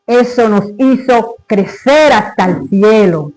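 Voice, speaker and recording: Male reciter for a dramatic literary monologue: high register, unhurried, solemn and declamatory delivery. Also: darkish tone, soft background music, rough recording.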